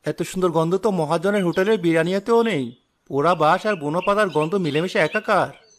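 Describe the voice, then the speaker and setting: Man acting a high-voiced earnest poor young father. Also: fairly quick, natural voice. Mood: amazed. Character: poor, earnest young father turned street cook, anxious but hopeful. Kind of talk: Bengali cartoon drama voice acting.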